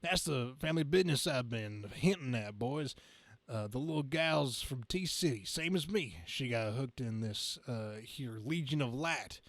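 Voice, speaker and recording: A man's voice: medium pitch, animated, fairly bright tone, dry recording. Man putting on a folksy trucker hero. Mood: earnest. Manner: folksy, halting over slang.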